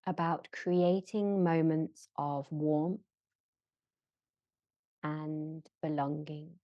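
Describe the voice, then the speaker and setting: A woman guiding a yoga nidra relaxation in a gentle, measured manner, leaving long pauses between short phrases. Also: deep voice, steady tone, no room sound, muffled recording. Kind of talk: slow guided relaxation meditation.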